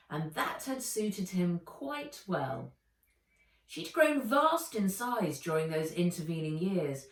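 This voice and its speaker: A woman narrates a children's book as its author. Her reading is deliberate and very animated in pitch, at a slow pace. The sound is echoey, as if a little way from the microphone. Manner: expressive, deliberate storytelling. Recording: roomy echo, distant mic.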